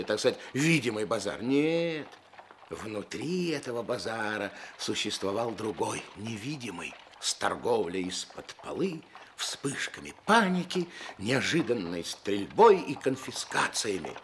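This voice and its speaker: An actor playing the wry, measured narrator of a radio play, telling a comic adventure tale. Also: male voice, mid-range voice, slight background noise.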